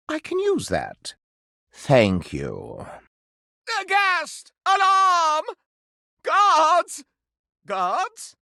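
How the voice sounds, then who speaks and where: A living silver candelabra from a video game, vain and hungry for gossip. The voice is bright and piercing, leaping wildly up and down with theatrical melodrama. Every word is florid and over-enunciated with snobbish aristocratic airs, recorded close and dry. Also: male voice, very high voice, no room sound, exaggerated voice.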